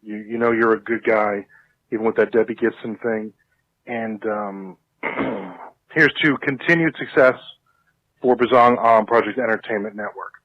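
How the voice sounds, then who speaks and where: A man's voice: on the low side, steady tone, unhurried, dry recording. Man on a dull, dry call-in recording. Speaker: call-in well-wisher, podcast network boss. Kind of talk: casual congratulatory phone message. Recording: dull lo-fi phone message.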